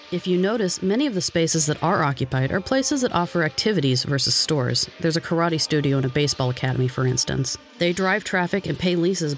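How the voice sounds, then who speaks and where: A man with an American accent reading scripted documentary narration in a measured, informative way. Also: on the high side. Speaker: video narrator. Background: background music.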